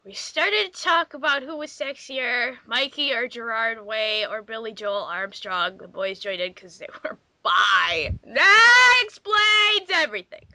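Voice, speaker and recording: Girl reading dramatically for a game, high sharp voice, super animated, close dry sound. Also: measured pace, hardly any pauses, no room sound. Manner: theatrical, exclaiming. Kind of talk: exaggerated dramatic reading among friends.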